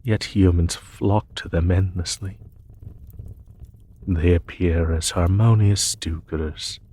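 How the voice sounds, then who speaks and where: A man playing a noble, weary, peace-seeking demon emperor in a fantasy audio roleplay, speaking in a formal, measured way. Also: low-pitched, fairly even intonation, dark tone, breathy edge, heavily acted. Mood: grave, disdainful. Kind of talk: fantasy audio roleplay, spoken softly to one listener.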